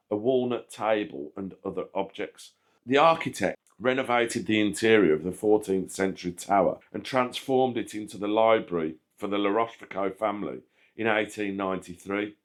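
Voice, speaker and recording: A man reading a scripted history narration aloud in a measured, factual manner. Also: lowish voice. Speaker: channel host narrating a history video.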